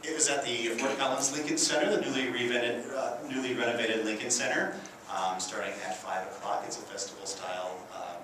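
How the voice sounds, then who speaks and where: A man giving an informal thank-you speech as a community radio director, halting often as he goes. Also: mid-range voice, fairly even intonation. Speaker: radio station executive director, guest speaker. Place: indoor council chambers. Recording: very echoey, distant, noisy room.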